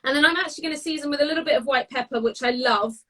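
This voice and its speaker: A British woman giving a casual live cooking demonstration, chatty and descriptive, often pausing mid-phrase as she explains. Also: high voice, noisy background. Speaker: guest cook demonstrating a recipe.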